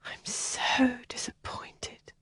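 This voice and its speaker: A woman narrator voicing a shy, distressed young woman who speaks in a breathy, trembling, often whispered voice. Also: high voice, expressive, measured pace, no room sound, pitched above the performer's own voice, heavily acted. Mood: whispery. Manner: pleading and anxious. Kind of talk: audiobook character voicing.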